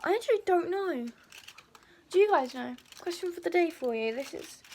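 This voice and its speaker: A woman chatting casually in a vlog, her voice croaky from a sore throat, rambling and repeating herself. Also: very high voice, lively intonation, normal speed, warm tone, slight background noise. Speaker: vlogger.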